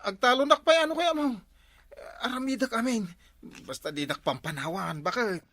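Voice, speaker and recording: A man's voice: very high-pitched, moderate pace, bright, forceful tone, exaggerated voice. A man acting a lazy, pleading husband in a comedy drama, whining and exclaiming. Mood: alarmed, pleading. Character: lazy husband who lounges at home, pleading when scolded.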